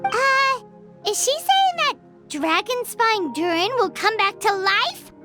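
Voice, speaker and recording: Tiny fairy sidekick for anime game: extremely high, squeaky, childlike, very animated, music behind. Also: female voice, slow pace, full character voice. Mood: worried. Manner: refers to herself in the third person. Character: tiny excitable childlike fairy companion, female. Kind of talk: English anime game dub. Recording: background music throughout.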